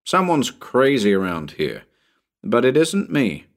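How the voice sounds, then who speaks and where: A man's voice: fairly low pitch, lively intonation, normal speed, natural voice. A man voicing a firm, impatient, sarcastic man minding a child in a storybook.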